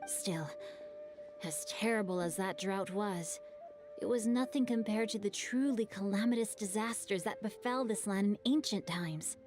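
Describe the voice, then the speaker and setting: A courteous young male scholar, as a woman acts him out, recounting old history in a polite, measured voice of middling pitch. Soft game music lingers in the background. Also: lightly acted. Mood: storytelling. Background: faint game music.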